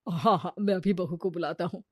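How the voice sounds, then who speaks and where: A woman voicing a mild, kindly older father-in-law in a comic family story, speaking in a gentle, conciliatory way. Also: on the low side, fairly quick, dry recording, clean studio sound, voice pushed down, close to an everyday voice. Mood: welcoming.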